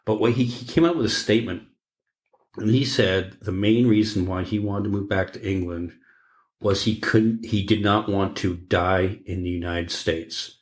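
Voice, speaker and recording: A man's voice: lowish voice, steady tone, normal speed. A man co-hosting a podcast in casual chat, opinionated and given to swearing. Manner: opinionated, profane. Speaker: podcast co-host. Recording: echoey room.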